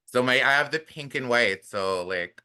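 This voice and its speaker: Panel guest, woman with a very low, bright voice; lively, laughing talk. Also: normal speed, smooth. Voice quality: deep voice. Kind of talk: casual panel chat.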